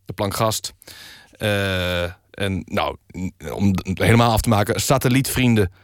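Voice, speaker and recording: A man presenting a mock-serious radio magazine in a deadpan manner. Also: fairly low pitch, measured pace, no room sound, studio quality. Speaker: radio host. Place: radio studio.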